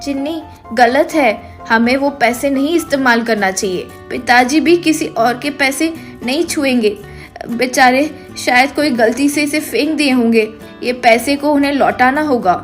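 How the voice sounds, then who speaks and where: A woman voicing a gentle, honest, ailing mother in an animated moral story, speaking in a kindly, instructive way. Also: high-pitched, a little slow, faint music behind. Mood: gentle, firm.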